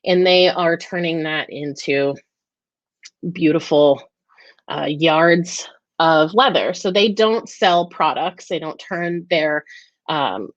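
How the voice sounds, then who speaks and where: An American woman hosts a relaxed live chat, talking with enthusiasm and plenty of ums and restarts. Her voice sits on the lower side for a woman. The sound is dry and a bit muffled, like a lo-fi stream. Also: no room sound. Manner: chatty, enthusiastic, many fillers and restarts. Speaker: host of a weekly live talk and Q&A. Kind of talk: casual livestream chat.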